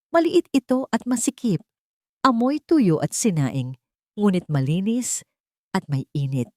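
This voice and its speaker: Lowish-voiced woman narrating a drama. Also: mellow, no room sound, studio quality. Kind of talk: scripted first-person narration, sentimental melodrama.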